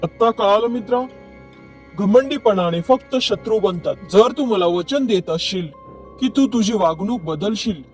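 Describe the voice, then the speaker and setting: A big, angry elephant father acted by a man gives stern warnings. The cartoon voice is high in pitch, with faint music behind it. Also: lively intonation, a little slow, a little mellow. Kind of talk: children's cartoon story acting.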